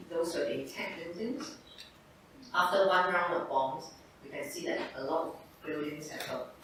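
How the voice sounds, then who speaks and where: An older woman from Singapore, a surgeon giving an informal lecture to medical students and checking in with "right?" and "okay?". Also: sits low. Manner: conversational, tags points with "right?" and "okay?". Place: large echoey lecture hall. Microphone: distant room mic. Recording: echoey hall, distant mic, noisy.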